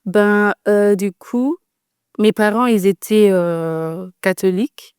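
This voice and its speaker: Soft-spoken young Dutch woman, crisp voice. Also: mid-range voice, fairly even intonation, slow pace, no room sound, studio quality. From Netherlands. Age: 20s. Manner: hesitant, many "euh" fillers, soft laughs. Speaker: guest. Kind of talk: casual interview about faith.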